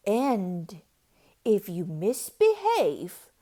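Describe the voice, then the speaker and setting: A woman's voice: medium pitch, wildly swooping pitch, drawn-out words, dark tone, full character voice. A woman voicing a wicked, stern witchy substitute teacher from a storybook, giving menacing commands. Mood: stern, threatening. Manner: commanding, menacing, clipped orders.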